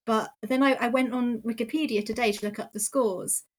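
A woman's voice: on the high side, fairly even intonation, fairly quick. A British woman chatting casually as a podcast panellist, often chuckling as she talks. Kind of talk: casual chat.

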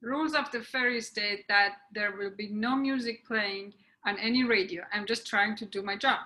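A woman's voice: medium pitch, steady tone, moderate pace, bright, forceful tone, lightly acted, low-quality recording. A woman playing a stern police officer giving firm orders in a screenplay reading. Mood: commanding. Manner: firm, commanding. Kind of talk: screenplay table read.

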